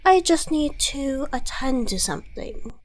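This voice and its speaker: Female performer as devil dealmaker, fairly high voice, leisurely pace, silky, theatrical, condescending, menacing, ASMR roleplay. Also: very animated, a little slow. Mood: brisk, dismissive. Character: suave devil dealmaker, courteous, smug and menacing. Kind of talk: ASMR audio roleplay monologue.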